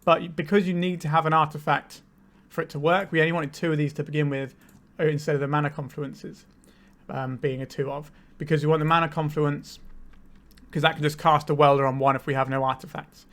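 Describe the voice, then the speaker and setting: A man with a slightly higher than usual voice talks through a card game in a relaxed, explanatory way, thinking aloud as he goes. He has a cold and sounds a little tired. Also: brisk. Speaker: channel host, card game player. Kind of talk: casual gameplay commentary.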